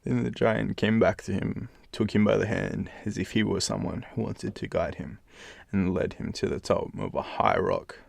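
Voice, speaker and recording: Male Australian bedtime storyteller, heavy vocal fry, expressive, recorded close and dry. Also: lowish voice, normal speed, no echo. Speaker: storyteller, channel host. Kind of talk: expressive bedtime fairytale narration with casual asides.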